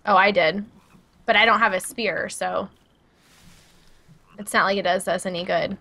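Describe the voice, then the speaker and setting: A woman's voice: mid-range voice, steady tone, normal speed, vocal fry, bright, forceful tone. A woman with a Midwestern American accent, chatting casually as she streams a co-op video game. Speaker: gaming streamer. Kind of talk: casual co-op gameplay chat.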